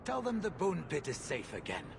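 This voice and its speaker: A man voicing a shrewd, money-minded mine owner with a brisk, dealmaking manner in a video game. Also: a little high, wildly swooping pitch, vocal fry, background noise, full character voice. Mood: businesslike.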